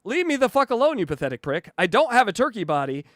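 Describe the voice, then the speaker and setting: High-voiced, animated male podcast host. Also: noisy background. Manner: halting, filler-heavy, giggly. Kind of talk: casual comedic podcast chat.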